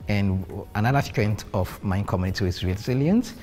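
Young man, low voice. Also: animated, moderate pace, darkish tone. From Ghana. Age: young adult. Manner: earnest, speaks with pride. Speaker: panel guest. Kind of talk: casual group conversation. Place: bright studio with plants, indoors.

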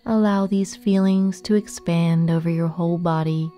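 A woman leading a guided meditation in a gentle, soothing, unhurried manner with long pauses. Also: lowish voice, steady tone, faint music behind.

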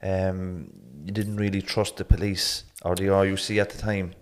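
A man in his thirties hosting a podcast, relaxed and hesitant, with frequent ums. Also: low-pitched, normal speed. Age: 30s. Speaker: podcast host. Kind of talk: casual interview.

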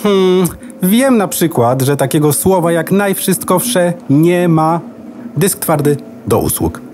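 A man's voice: on the high side, big pitch swings, slow pace, darkish tone, a little hiss or hum. A man playing a proud, chatty know-it-all computer hard drive in a children's radio play. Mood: proud, welcoming. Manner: pedantic, boastful, goes off on tangents.

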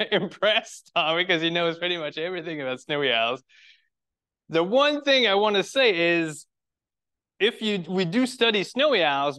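A man's voice: on the high side, bright, forceful tone, smooth. French-Canadian man giving a lecture. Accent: Quebec French. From Quebec. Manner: friendly, rhetorical questions, restarts phrases. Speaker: biologist giving a public talk. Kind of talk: conference lecture to a birding club. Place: lecture room.

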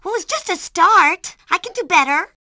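A woman voicing an earnest, naive, well-behaved young girl in an animated series, speaking expressively. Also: very high-pitched, normal speed, no echo, clean studio sound, heavily acted. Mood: shy, sheepish.

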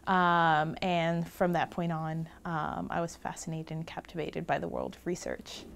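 A young woman from Puerto Rico, a research scholar, talks about her work in a documentary interview, pausing often with ums between phrases. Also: deep voice, level tone, gravelly, slight background noise. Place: office lined with bookshelves.

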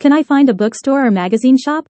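A woman reading lesson prompts in a measured, deliberate way. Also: sits high, expressive, mellow, no room sound. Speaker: language lesson reader. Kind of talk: scripted phrase reading.

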